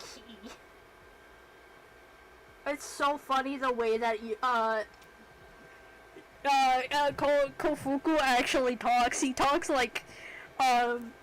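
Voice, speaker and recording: A woman's voice: sits high, a little hiss or hum, poor audio. A woman streamer chatting casually while she works, rambling and self-deprecating. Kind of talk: casual livestream chat while working.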